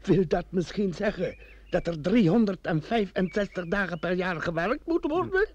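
Calculating Italian showman, husky high voice, Italian accent, vintage radio drama, muffled sound. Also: normal speed. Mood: bossy, grumbling. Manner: excitable, bargaining, interjecting Italian phrases. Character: vain Italian fairground lion tamer, bossy and calculating. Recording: dull old radio recording.

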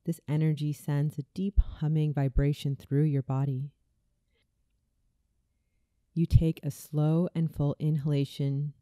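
A woman reading a scripted guided visualization in a soothing, deliberate way, as a meditation narrator. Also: sits low, little pitch movement, dark tone, no room sound, clean studio sound. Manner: soothing, slow, deliberate delivery.

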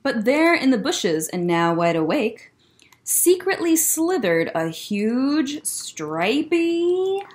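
Animated young woman reading slowly to children. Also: sits high. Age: young adult. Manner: expressive, deliberate storytelling. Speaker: librarian storyteller. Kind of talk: picture book read-aloud for children. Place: indoor library room.

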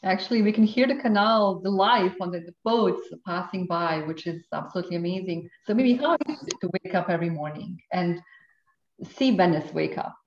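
A woman's voice: fairly low pitch, normal speed. A woman hosting a live, conversational interview and putting questions to her guest. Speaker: interviewer, host. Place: guest's home on a canal in Venice. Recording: echoey room, slightly dull sound.

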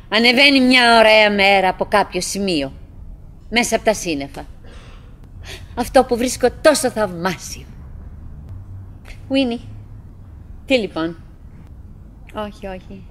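An actress playing a chattering, doggedly cheerful middle-aged wife who fears solitude, in a halting, musing theatrical radio monologue. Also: female voice, medium pitch, close to an everyday voice. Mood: puzzled, resigned. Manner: musing, halting, talking to herself and her husband.

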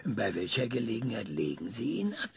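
A man's voice: on the low side, sing-song, a little fast, vocal fry, slightly rough, no echo, full character voice. An actor in a radio play, playing an eccentric, cranky older man being interviewed, who hesitates often and lectures. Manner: hesitant, many ums, lecturing.

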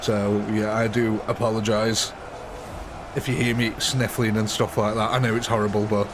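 Brief: male streamer with a cold, sniffly, chatty and upbeat, clear hiss behind the voice. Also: on the low side, flat delivery, normal speed. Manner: cheerful, chatty, laughing often. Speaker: livestream gaming host. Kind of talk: casual live commentary.

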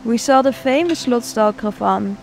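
A woman's voice: a little high, normal speed, gravelly, soft background music. A cheerful young woman vlogging a long hike, chatting on the trail and narrating. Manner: cheerful, enthusiastic. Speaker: hiking vlogger. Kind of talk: travel vlog narration and trail chat. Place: outdoors on a forest trail.

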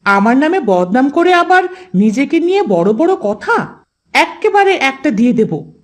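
A woman's voice: high voice, very animated, a little slow. A woman voicing a hot-tempered, indignant boy with a scolding, combative manner in a moral cartoon. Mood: furious, threatening. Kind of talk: Islamic moral cartoon voice acting.

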